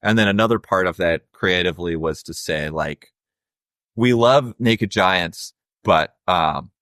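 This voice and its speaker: A young adult man with a low voice, a musician guest talking casually and reflectively in an interview, with many fillers. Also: unhurried, a bit bright, no room sound, studio quality.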